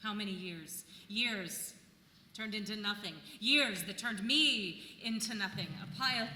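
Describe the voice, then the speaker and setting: A woman's voice: mid-range voice, unhurried, pushed and bright. A woman playing a bitter, defiant colonial wife accused of witchcraft in an intense, theatrical play reading. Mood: bitter, weary. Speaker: stage actress. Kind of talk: live dramatic reading of a play. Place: live reading in a church venue. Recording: echoey hall, faint background noise.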